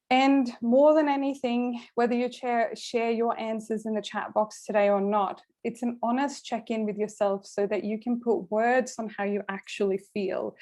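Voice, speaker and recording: A middle-aged career coach from Sweden speaks, warm and direct as she leads an online masterclass. Her voice sits at an ordinary middle pitch for a woman, recorded on a computer mic over a video call.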